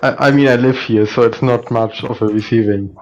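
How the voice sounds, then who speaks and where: A man's voice: mid-range voice, steady tone, fairly quick, darkish tone, lo-fi sound, slight background noise. A man chatting casually and hesitantly as a guest on a stream call. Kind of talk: casual chat.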